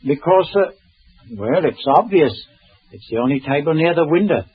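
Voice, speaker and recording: Nervous fussy working man, super husky, hesitant and apologetic, tinny 1940s radio sound. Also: fairly high pitch, animated, moderate pace. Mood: self-conscious, apologetic. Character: timid, talkative, self-conscious working-class male witness. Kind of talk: 1940s radio drama acting. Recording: thin, phone-like old radio recording.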